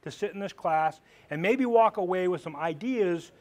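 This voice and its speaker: Big-voiced police lieutenant lecturing a class. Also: male voice, high voice, expressive, moderate pace, darkish tone. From Maine, United States. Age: middle-aged. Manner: conversational, plain-spoken, asks rhetorical questions. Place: training room with a seated audience. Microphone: far camera at the back of the room.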